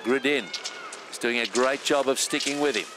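A man giving live sports commentary in a measured, steady play-by-play manner with filler pauses. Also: medium pitch, noisy background.

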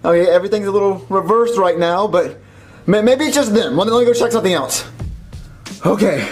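A man's voice: sits high, fairly quick, faint music behind. A young man voicing a bewildered plush hero in a comic puppet skit at home, exclaiming in confusion. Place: suburban house indoors.

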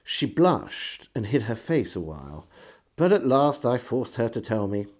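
This is a middle-aged man with an English accent, narrating a historical diary. His voice sits at an average male pitch and moves very expressively up and down. The delivery is measured and literary, in the manner of an old-fashioned storyteller. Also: sing-song. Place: small indoor room lined with shelves.

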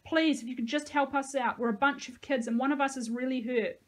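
A woman's voice: fairly high pitch, steady tone, normal speed, lightly acted. Woman playing an urgent, earnest boy leader. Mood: pleading. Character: anguished, urgent boy leading a group of children. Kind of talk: audiobook character dialogue.

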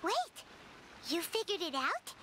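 A woman voicing a small, excitable, curious fairy companion in a video game, squeaky and childlike. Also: slow, noisy background, full character voice. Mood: excited, curious. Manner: squeaky, exclaiming, inquisitive.

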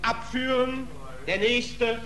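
A man's voice: very high-pitched, steady tone, slow. An actor playing a stern, officious male judge in a 1940s German radio play, speaking in a curt, commanding, formal manner. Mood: stern, official. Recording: old 1940s radio recording, crowd murmur.

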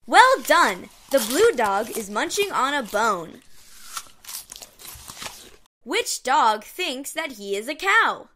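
A woman's voice: high voice, big pitch swings, slow pace, pauses often, pushed and bright. A woman narrating a read-along storybook for young children in short, simple, encouraging phrases.

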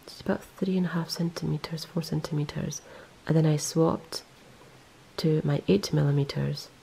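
Low husky woman chatting about her knitting, lots of ums, keeps correcting herself. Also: fairly even intonation, moderate pace, dark tone, poor audio. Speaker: knitting vlogger. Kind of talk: casual show-and-tell. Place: indoors, close-up on hands and yarn.

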